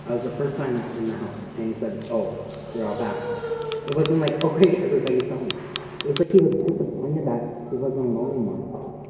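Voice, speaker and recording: A young man acting a wistful child of an absent father, in a measured, reflective stage monologue. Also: medium pitch, lightly acted. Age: teen or young adult. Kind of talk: high school stage monologue. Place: large indoor hall, stage performance. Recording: very echoey hall, distant, noisy.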